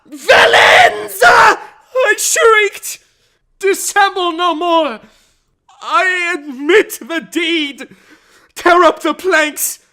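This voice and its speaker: A man's voice: very high-pitched, very slow, pushed and bright, raised voice. A man giving an intense, theatrical first-person dramatic reading of a classic tale. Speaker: narrator. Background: music.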